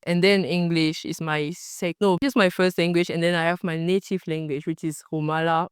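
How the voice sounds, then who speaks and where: A woman's voice: lowish voice, steady tone, smooth, dry recording, clean studio sound. Hesitant young woman, Cameroonian French accent. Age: young adult. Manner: hesitant, pausing to search for words. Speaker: student researcher. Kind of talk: documentary interview answers.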